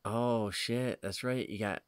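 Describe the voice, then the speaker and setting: Man, lowish pitch, crisp clear voice, casual podcast co-host, thinks aloud, swears freely. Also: takes it slowly, dry recording, clean studio sound. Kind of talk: casual chat, guessing game among friends.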